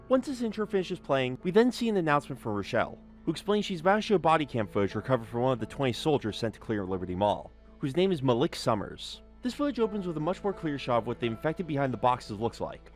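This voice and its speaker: Animated, quick-talking man over music. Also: fairly high pitch. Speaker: video essay host and narrator. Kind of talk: scripted analysis commentary with casual asides.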